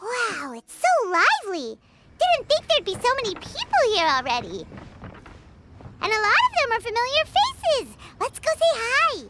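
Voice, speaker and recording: A tiny fairy girl from an animated game, extremely high and squeaky. Her delivery swings wildly and excitably, with clear noise behind it. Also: unhurried, heavily acted. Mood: cheerful. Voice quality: squeaky childlike. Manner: excitable, talks of herself in third person.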